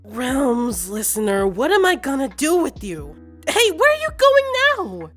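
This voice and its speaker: Woman acting high, animated, exasperated young coworker. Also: measured pace, faint music behind, exaggerated voice. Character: exasperated young female coworker friend, teasing and incredulous. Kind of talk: amateur audio roleplay acting.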